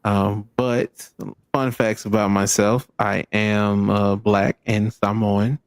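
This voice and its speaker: A man's voice: on the low side, flat delivery, takes it slowly, smooth, dry recording. A man from Arkansas with a Southern American accent, chatting casually and a little ramblingly as a streamer being interviewed. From Arkansas, United States. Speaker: guest, video game streamer.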